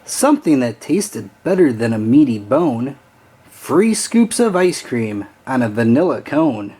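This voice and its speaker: A man reading a children's storybook aloud with an expressive, sing-song storytelling delivery. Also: mid-range voice, unhurried, breathy edge. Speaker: storybook reader.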